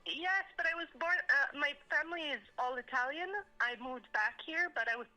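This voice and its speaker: Brief: late-20s American woman, bright and fairly high voice, friendly, on a phone call. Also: brisk. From United States. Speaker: prize winner on a phone call.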